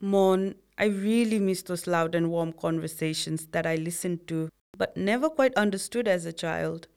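Brief: young woman, lowish smooth voice, measured scripted delivery. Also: a little mellow. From Bhutanese refugee, grew up in Nepal. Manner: measured, reflective, read-aloud delivery. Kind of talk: scripted conversation.

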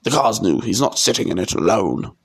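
A man voicing a stern, menacing middle-aged uncle in a storybook, gruff and threatening. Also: on the low side, very animated, fairly quick, exaggerated voice. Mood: curt.

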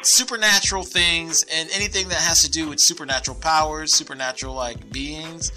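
A man in his thirties chatting casually as a podcast co-host, animated and teasing, with plenty of sweary banter. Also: on the high side, fairly quick, faint music behind, poor audio. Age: 30s. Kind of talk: casual chat about films and television.

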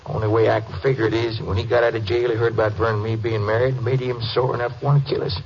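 An actor playing a nervous, insistent, resentful rural husband in a 1950s radio drama, with a Southern American accent and halting folksy speech. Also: male voice, mid-range voice, level tone, a little mellow, close to an everyday voice. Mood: agitated, accusing. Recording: muffled, slightly noisy old radio recording.

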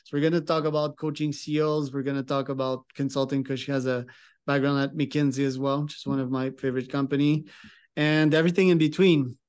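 A man's voice: medium pitch, level tone, fast talker, a little mellow, clean tone. A man hosting a podcast, casually introducing a guest and asking interview questions.

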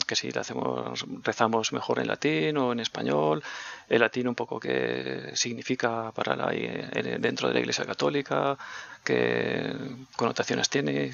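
A man hosting a live radio talk show, speaking in an unhurried, hesitant way. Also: medium pitch, vocal fry, fairly bright tone, dry recording. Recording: dull lo-fi sound.

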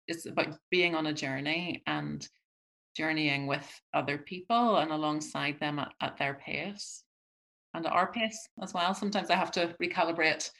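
A middle-aged woman, speaking as an interview guest in a reflective, halting way with many restarts. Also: fairly low pitch, pushed and bright. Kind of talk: conversational interview.

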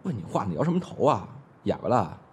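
A man's voice: on the low side, sing-song, fast talker, slight background noise. A young man acting in a Chinese audio drama as a brash, impatient but protective schoolboy with a blunt, slangy way of talking. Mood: gruff, protective.

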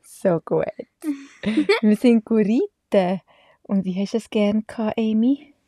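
A woman's voice: medium pitch, lively intonation, slow pace, mellow, dry recording, studio quality. A Swiss woman in her forties with a Swiss German accent, chatting casually to the camera as a mother and vlogger, laughing often and slipping in English words. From Switzerland.

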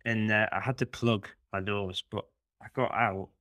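Male podcast co-host, lowish pitch, chatty storyteller, dry booth sound. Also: fairly even intonation, normal speed, a bit bright, dry recording. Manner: rambling anecdotal storyteller. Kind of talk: casual chat.

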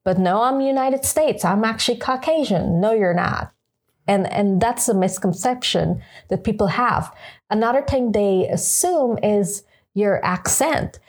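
A woman's voice: mid-range voice, animated, measured pace, darkish tone. A woman giving her opinions conversationally in a group discussion, emphatic and quoting imagined exchanges. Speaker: panel discussion participant.

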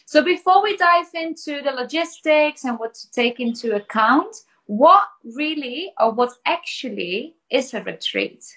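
A woman hosting a podcast interview, upbeat and encouraging, speaking clearly for learners. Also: high-pitched, expressive, measured pace, few pauses, bright, forceful tone, poor audio. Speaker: podcast host.

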